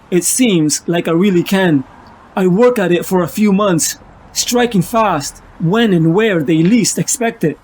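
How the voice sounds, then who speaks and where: A man's voice: high-pitched, darkish tone, a little hiss or hum. A man playing a tormented, ailing vigilante losing his sanity, narrating his story as a brooding, confessional monologue. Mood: grimly determined. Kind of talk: dramatic first-person comic narration.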